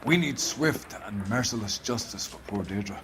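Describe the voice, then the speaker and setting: An actor playing a pompous senior police captain in a video game, declaiming in a grandiloquent, theatrical way. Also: male voice, lowish voice, expressive, normal speed, noisy background. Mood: pleased, pompous.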